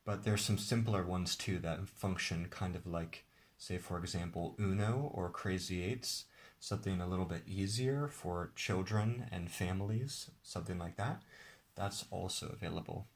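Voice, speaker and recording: Man, very low voice, casual game demo, relaxed explainer. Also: normal speed. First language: English. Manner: relaxed, explanatory, with occasional restarts. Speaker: game designer demonstrating his card game. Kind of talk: casual tabletop game demonstration.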